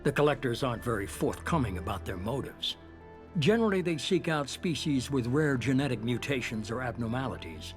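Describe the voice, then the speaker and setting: An actor playing a shadowy, calculating middle-aged leader in a sci-fi game, speaking with measured, composed authority. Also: male voice, mid-range voice, animated, faint music behind, lightly acted. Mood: cool, measured. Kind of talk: cinematic sci-fi game dialogue.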